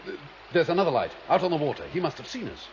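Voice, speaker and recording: A man's voice: fairly high pitch, very animated, fast. An actor playing an anxious but resolute father, hesitant and solemn, in a 1970s sci-fi radio drama. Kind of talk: 1970s science fiction radio drama acting. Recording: dull vintage radio recording, noisy stream background.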